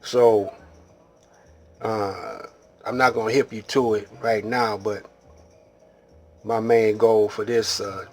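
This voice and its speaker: American guy talking real slow n low to his viewers, kinda rambling street talk, bit of music behind. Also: steady tone, a little mellow. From Detroit, Michigan, United States. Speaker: video host. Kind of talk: casual monologue to viewers.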